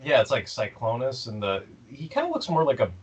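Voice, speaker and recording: A man co-hosting a casual fan podcast. He tells stories in an animated way, stammering and restarting often. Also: mid-range voice, brisk, breathy edge. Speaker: podcast co-host.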